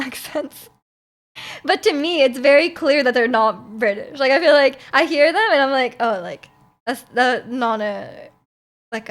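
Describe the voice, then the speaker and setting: A woman's voice: fairly high pitch, fairly bright tone. A young woman streamer chatting casually with her viewers, laughing often and restarting her sentences. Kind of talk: casual chat reading viewer comments.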